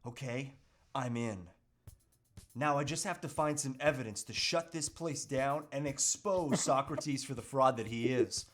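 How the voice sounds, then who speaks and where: A man's voice: mid-range voice, a little slow, fairly bright tone, lightly acted. A man playing a determined, earnest and melodramatic husband on a crusade in an amateur comedy table read. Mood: scheming, determined.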